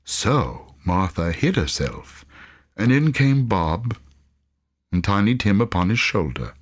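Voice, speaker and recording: Deep-voiced man narrating a story expressively. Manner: theatrical, dramatic storytelling. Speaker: audiobook reader.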